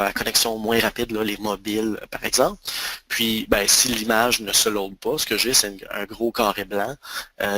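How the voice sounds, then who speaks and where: A man in his thirties with a Quebec French accent, giving a webinar on email marketing and often pausing on fillers and restarts. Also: lowish voice, sharp tone, no room sound, poor audio. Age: 30s. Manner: hesitant, many fillers and restarts. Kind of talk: webinar presentation.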